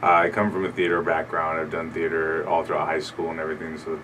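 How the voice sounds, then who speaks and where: A young comedian with a very deep, low voice, talking in a loose, hesitant way with plenty of fillers. He sits a bit back from the studio mic, and a faint hiss runs under his voice. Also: fast talker, far from the mic. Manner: relaxed, rambling, hesitant with many fillers. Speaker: comedian guest. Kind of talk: casual radio interview. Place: small radio studio.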